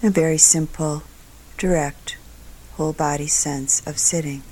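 A woman meditation teacher leading a guided meditation in a soft voice that sometimes trails into a breathy near-whisper, calm and deliberate, with long pauses between short phrases. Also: low voice, low-quality recording.

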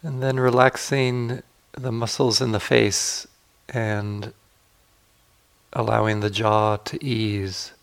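A man leading a guided meditation as a teacher, speaking gently and unhurriedly with long pauses. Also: fairly low pitch, level tone, gravelly, smooth.

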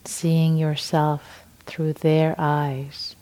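A middle-aged woman whose first language is Farsi guides a meditation in a low, warm, unhurried voice. She lets soft breathy phrases trail off into near-whispers, with long, gentle pauses between them. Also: flat delivery. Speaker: meditation teacher.

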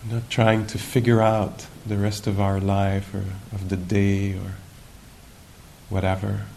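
A man leading a guided meditation as a teacher at a retreat, speaking in an unhurried, musing way with gentle questions and long pauses. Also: monotone, creaky. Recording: noisy live recording with low rumble.

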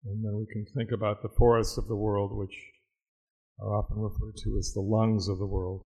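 A man teaching a guided meditation, speaking in a measured, reflective way with long pauses. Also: lowish voice, flat delivery, dark tone, slightly rough, highs cut off.

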